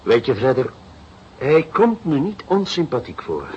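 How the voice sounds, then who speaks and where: A man acting a calm, thoughtful senior police detective in a radio play, speaking in a measured, dry way. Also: medium pitch, husky, heavily acted. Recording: dull lo-fi sound, faint noise.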